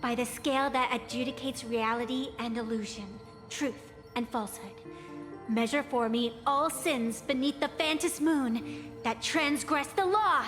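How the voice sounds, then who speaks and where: Poised, formal young women from a fantasy game, teasing one moment and chanting ceremonially the next. The voice sits a little high, with a long reverb tail and loud orchestral game music behind it. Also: female voice, unhurried. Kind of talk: game cutscene dialogue. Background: game soundtrack.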